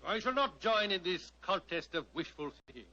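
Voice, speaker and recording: Haughty diplomat, high piercing voice, pompous, muffled old radio drama. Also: male voice, animated, moderate pace, bright, forceful tone. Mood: indignant. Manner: pompous, formal. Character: haughty, stiff British diplomat knight.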